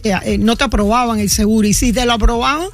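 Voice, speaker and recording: A woman's voice: a little high, steady tone, quick pace. A woman with a Cuban accent, a guest in an interview, talking fluently and persuasively with frequent hesitation fillers. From Cuba. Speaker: guest, insurance agency founder. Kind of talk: promotional interview talk.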